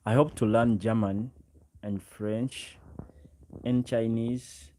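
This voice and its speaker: A man's voice: medium pitch, fairly even intonation, slow, a little mellow, slight background noise. A man in his twenties with a Ugandan accent, chatting casually on a livestream, speaking directly to viewers and often repeating his phrases. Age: 20s. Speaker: live streamer. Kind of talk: casual livestream chat, reading and answering comments.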